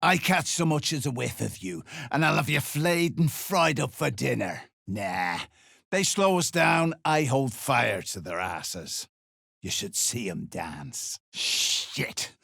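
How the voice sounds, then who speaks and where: An actor playing a hard-bitten, threatening duergar dwarf in a video game, gravelly and curt, with coarse slang. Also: male voice, mid-range voice, sing-song, takes it slowly, a bit bright, no room sound, studio quality, heavily acted. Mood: menacing. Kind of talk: video game character lines.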